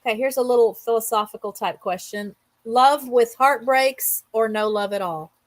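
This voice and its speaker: A woman's voice: on the high side, normal speed, dry recording. A woman interviewer in a casual question-and-answer chat, talking in an informal conversational way.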